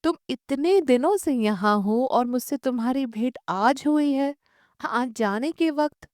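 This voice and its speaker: An excitable unmarried woman, acted by a female narrator in a storybook reading, chiding and eager for company. Her voice sits high and swings expressively as she exclaims and reproaches. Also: a little slow, no echo, clean studio sound. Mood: reproachful. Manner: exclaiming, chiding an old acquaintance. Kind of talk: audiobook short story dialogue.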